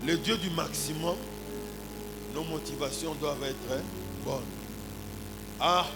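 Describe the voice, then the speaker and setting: A man in his forties with a Congolese accent preaching a sermon as a pastor, punctuating his points with exclamations and rhetorical questions. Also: on the high side. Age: 40s. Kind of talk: animated sermon with anecdotes and congregational address.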